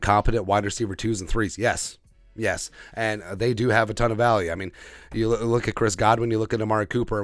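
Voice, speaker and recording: A man's voice: lowish voice, no echo, studio quality. A man hosting a sports podcast, giving off-the-cuff, opinionated football commentary and repeating phrases for emphasis.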